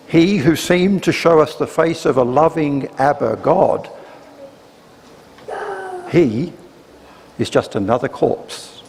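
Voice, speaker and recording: A man delivering a scripted sermon reflection gravely, with deliberate pauses between phrases, in a church. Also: mid-range voice, darkish tone. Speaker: church speaker giving a reflection. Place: church, live service. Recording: reverberant church, faint noise.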